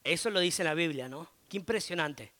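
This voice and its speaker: A man with an Argentine Spanish accent preaching a conversational sermon as a pastor, often pausing on "eh" and asking "¿no?". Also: a little high, animated, sharp tone. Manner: conversational, frequent filler "eh" and tag "¿no?".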